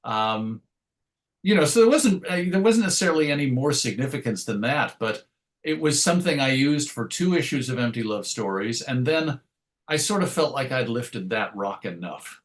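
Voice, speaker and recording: A middle-aged man speaks as an interview guest, looking back in an easy conversational style. His delivery is wry and self-deprecating, with a medium-pitched voice. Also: expressive, moderate pace. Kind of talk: conversational interview answers, reminiscing.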